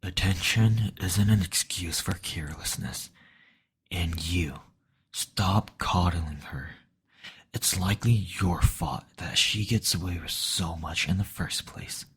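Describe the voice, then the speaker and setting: A man voicing a stern, possessive demon lord in a slow, domineering, intimate roleplay style. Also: sits low, a bit bright, heavily acted. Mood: stern, threatening. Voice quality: breathy, husky. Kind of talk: intimate scripted roleplay audio.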